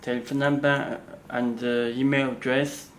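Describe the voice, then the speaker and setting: A man who is not a native English speaker, giving an unscripted product walkthrough in halting, simple phrases with frequent pauses. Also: medium pitch, little pitch movement. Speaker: product demonstrator. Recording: faint background noise.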